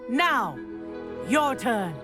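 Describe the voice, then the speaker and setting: Brisk, high-voiced woman flying teacher. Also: sing-song, over music, exaggerated voice. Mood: firm. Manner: firm, precise, instructive. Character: strict, brisk female flying teacher at wizard school. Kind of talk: video game voice acting.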